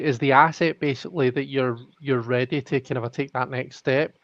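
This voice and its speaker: A man's voice: mid-range voice, dry recording. A man hosting a live tarot stream, thinking aloud in casual chat with many restarts. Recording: dull lo-fi audio.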